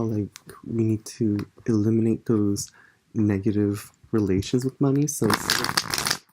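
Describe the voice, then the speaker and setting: A congested tarot reader leading a relaxed pick-a-card reading, speaking softly and musing in a meandering way. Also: medium pitch, a little hiss or hum, rough recording. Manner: soft-spoken, meandering, musing aloud.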